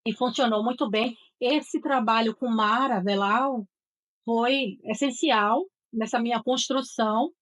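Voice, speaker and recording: A woman's voice: fairly high pitch, unhurried, a bit bright. A middle-aged woman with a Northeastern Brazilian accent from Sergipe, a voice and speech therapist telling her career story in an interview with an assured, articulate manner. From Aracaju, Sergipe, Brazil.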